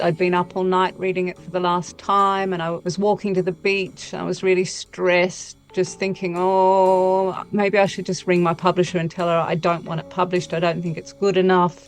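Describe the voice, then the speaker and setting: A woman's voice: fairly low pitch, low-quality recording. A woman novelist speaking reflectively and conversationally as an interview guest.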